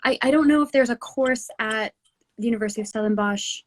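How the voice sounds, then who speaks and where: A woman interviewing a guest, asking questions in a relaxed, friendly way. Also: on the high side, fairly quick. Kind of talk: casual interview chat.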